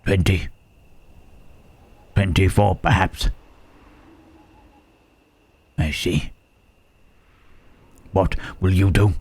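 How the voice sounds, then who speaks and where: Man acting wary gentle creature, very slow, low-pitched, hushed, halting, old-fashioned wording, intimate ASMR roleplay. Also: fairly even intonation, heavily acted. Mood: thoughtful, grave. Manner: halting, formal archaic phrasing, questioning "huh".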